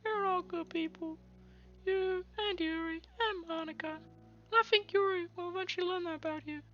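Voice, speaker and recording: Sayori, a squeaky sugary schoolgirl, as a man puts her on. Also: steady tone, normal speed, muffled recording, soft background music. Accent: British. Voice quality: squeaky falsetto. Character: sweet cheerful teenage girl.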